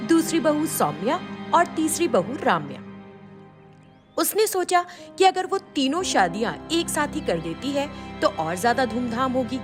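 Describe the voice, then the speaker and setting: A woman reading a scripted bedtime story as an expressive storyteller. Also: fairly high pitch. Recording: music behind the voice. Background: background music.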